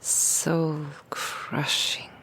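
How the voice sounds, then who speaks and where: A woman whispering as a cruel, raspy demoness. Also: low-pitched, level tone, sharp tone, heavily acted, poor audio. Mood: cruel taunting. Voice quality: breathy whispering. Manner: slow, mocking, intimate taunts. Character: seductive cruel female demon, taunting and manipulative. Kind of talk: ASMR roleplay.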